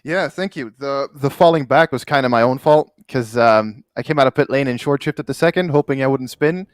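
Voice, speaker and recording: A man's voice: mid-range voice, brisk, clean tone, no room sound, studio quality. A man from Belgium, a sim racing driver, chatting casually and modestly in an interview over online voice chat.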